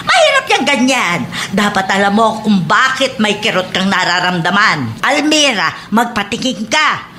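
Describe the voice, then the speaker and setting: Bright, lively Filipino woman friend. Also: mid-range voice, unhurried. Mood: alarmed concern. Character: chatty, teasing, concerned female friend. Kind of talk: Filipino radio drama acting.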